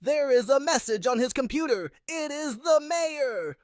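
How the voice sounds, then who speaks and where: A woman with a notably high voice narrates a children's picture book. She reads slowly in short, expressive phrases as a storyteller, with a dry, close sound. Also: no room sound. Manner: simple, expressive read-aloud delivery. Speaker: read-aloud storyteller.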